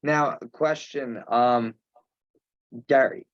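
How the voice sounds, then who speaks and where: A man chairing an informal online meeting, speaking haltingly with pauses mid-sentence. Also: medium pitch, fairly even intonation, dry recording. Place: remote teleconference. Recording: dull, muffled conference call audio.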